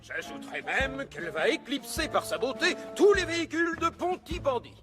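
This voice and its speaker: A man's voice: very high voice, wildly swooping pitch, moderate pace, over music, exaggerated voice. A man playing a pompous, boisterous fire chief in a French-dubbed cartoon, gushing and exclamatory. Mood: gushing pride. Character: boisterous, pompous male fire chief who adores steam trains.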